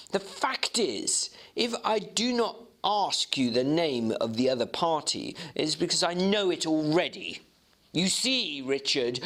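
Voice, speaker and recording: A man voicing a grave, inquisitive Victorian gentleman lawyer in a storybook, formal, deliberate and probing. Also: on the high side, pitched above the performer's own voice, exaggerated voice. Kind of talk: dramatic reading of a Victorian novel.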